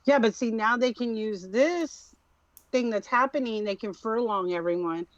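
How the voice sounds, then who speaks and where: A woman's voice: fairly high pitch, normal speed, dry recording. Lady podcast cohost chatting casually, dry close mic, kinda muffled lo-fi. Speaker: podcast co-host. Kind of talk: casual chat. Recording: dull lo-fi mic sound.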